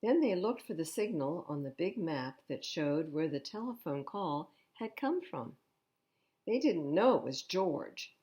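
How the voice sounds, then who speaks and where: A woman reading a picture book aloud to children at storytime, expressively, pausing to explain the pictures in simple words. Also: low voice, very animated, dark tone. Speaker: library storytime reader.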